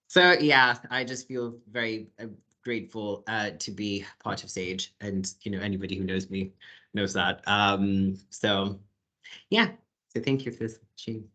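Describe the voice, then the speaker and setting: A speaker from Kenya introducing themself on a video call, hesitant with ums. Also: female voice, a bass voice, steady tone, moderate pace, fairly bright tone, lo-fi sound. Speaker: panel speaker. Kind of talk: self-introduction.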